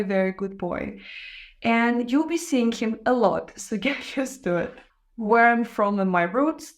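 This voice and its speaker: A young woman from Israel with a foreign-accented English, hosting her podcast and telling her life story in a candid, reflective way with soft laughs. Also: on the high side. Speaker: podcast host. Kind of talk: casual personal monologue to camera.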